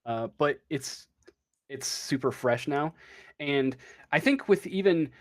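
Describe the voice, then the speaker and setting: A man working as game master, improvising a tabletop role-playing story in a halting, drawn-out way. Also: on the high side, expressive.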